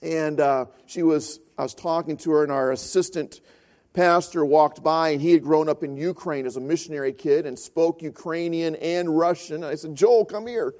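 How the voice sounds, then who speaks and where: A man's voice: fairly high pitch, moderate pace, a little mellow. A man preaching a sermon as pastor, telling a story and then building earnest, repeated points.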